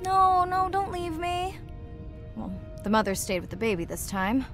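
An actress playing a warm, eager marine scientist and diver in natural video game dialogue. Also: female voice, high voice, very animated, normal speed. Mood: excited, urgent. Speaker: voice actor. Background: music.

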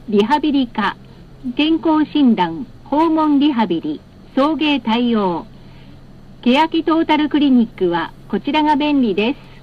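A woman bus announcer reading scripted stop announcements in a formal, polite, carefully enunciated way over cabin speakers. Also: high-pitched. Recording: muffled, with clear vehicle noise. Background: vehicle noise.

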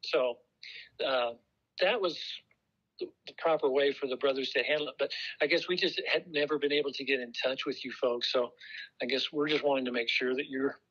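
Over a muffled phone recording, a middle-aged American man talks in a polite, casual way. He is hesitant and halting as he explains himself. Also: medium pitch, steady tone, sharp tone, breathy edge. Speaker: congregation elder on a phone call.

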